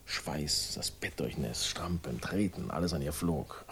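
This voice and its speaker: A man's voice: rumbling low, unhurried, a bit bright, slight background noise. An actor playing a world-weary, sardonic man with a troubled past, speaking tersely in a radio crime drama. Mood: bitter, haunted. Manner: terse, fragmented, wry. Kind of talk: 1960s German radio crime drama acting.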